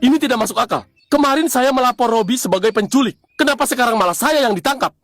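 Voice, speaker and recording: A man voicing a scheming, excitable middle-aged father in an animated drama, with an ingratiating, insistent manner. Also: extremely high pitch, fast, faint music behind. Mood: indignant, panicked. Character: scheming, money-hungry father, fawning and indignant.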